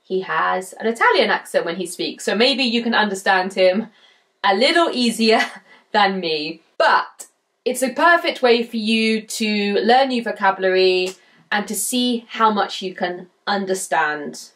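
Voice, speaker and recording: A young British woman hosting a casual English listening lesson at home, speaking clearly and deliberately. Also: mid-range voice. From Britain. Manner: clear, deliberate, animated with gestures. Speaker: host, English teacher. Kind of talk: casual teaching chat. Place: home room indoors.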